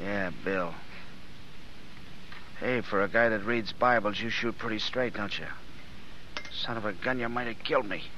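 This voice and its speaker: An actor playing a restless young tough drifter with clipped, slangy American talk, in an old-time radio drama. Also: male voice, on the low side, normal speed, long pauses, sharp tone. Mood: flippant. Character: restless tough young drifter and reluctant thief, gruff but soft underneath. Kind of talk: 1930s-40s American radio drama acting. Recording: muffled, noisy old radio recording.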